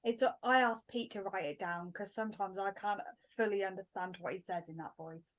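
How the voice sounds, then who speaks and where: A woman's voice: mid-range voice, a little fast. A woman playing in a tabletop game, chatting casually with friends in a playful, giggly way. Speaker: player.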